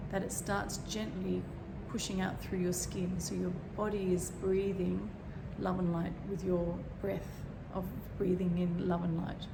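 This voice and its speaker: Croaky woman leading a calm meditation, kinda rambly, echoey room w/ noise behind. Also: on the low side, unhurried, hardly any pauses. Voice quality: croaky, frog in throat. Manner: gentle, rambling, searching for words. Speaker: meditation teacher. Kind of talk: guided meditation talk.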